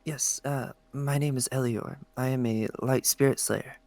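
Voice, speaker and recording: A man's voice: mid-range voice, fairly even intonation, moderate pace, a little mellow, no room sound, natural voice. A man voicing a polite, formal spirit slayer, son of a lion spirit, courteous and hesitant in a fantasy roleplay.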